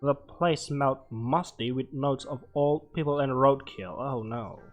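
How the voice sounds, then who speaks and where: A man with a medium-pitched voice plays a game and talks through it at a very slow pace. He reads the on-screen text aloud and drops in short, halting reactions. Soft game music runs behind him. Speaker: let's player.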